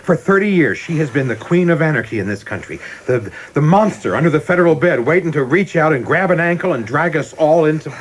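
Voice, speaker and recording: A man's voice: fairly high pitch, expressive, fairly quick, few pauses, a little hiss or hum, lightly acted. An actor playing an ambitious, rigid young male government official in a radio play, speaking formally, precisely and earnestly. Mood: zealous, persuasive.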